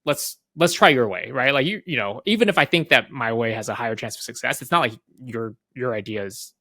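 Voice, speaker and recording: A man speaking as an interview guest, explaining casually in halting phrases with repeated words and frequent checking tags. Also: mid-range voice, lively intonation, pushed and bright, dry recording, studio quality. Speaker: interview guest, head of product at a software company.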